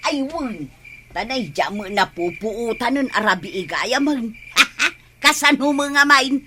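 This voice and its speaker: An actress with a Filipino accent playing a teasing, giggly village gossip in a radio comedy drama. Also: female voice, fairly high pitch, expressive, takes it slowly, pushed and bright, slight background noise, exaggerated voice. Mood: gleeful.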